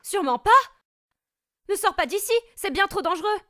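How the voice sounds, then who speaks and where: A woman playing an anxious, quick-witted high school girl in an amateur video game dub. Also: animated, a bit bright, dry recording, clean studio sound. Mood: worried.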